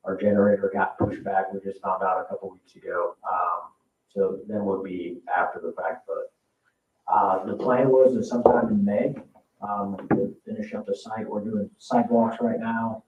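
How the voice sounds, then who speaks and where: An American man giving an informal budget presentation at a public meeting in a halting, hesitant way, as a construction project manager. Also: lowish voice. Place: indoor town council meeting room. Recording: roomy, distant, dull meeting-room audio with faint noise.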